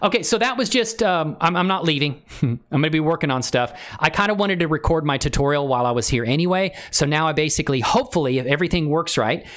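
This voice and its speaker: Livestream tutorial host: informal, enthusiastic, chatty, mid-pitched with very animated intonation. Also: fast. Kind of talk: casual livestream chat with software tutorial.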